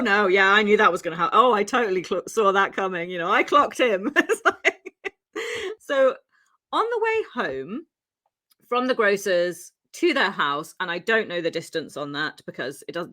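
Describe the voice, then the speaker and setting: A woman's voice: on the low side, bright, forceful tone. A woman from Wales, co-hosting a podcast, tells a true crime story in a chatty, laughing way full of ums and asides, her English carrying faint Welsh traces. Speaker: podcast co-host.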